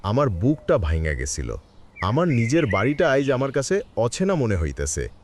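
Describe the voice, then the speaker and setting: Cartoon role, male actor: sorrowful, resigned elderly father, rural Bangladeshi Bengali accent, plaintive, very animated intonation. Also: mid-range voice, normal speed, slight background noise. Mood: hurt, sorrowful. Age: older. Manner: plaintive, reflective speechmaking. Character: sorrowful, resigned old father being sent away. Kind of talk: melodramatic cartoon voice acting.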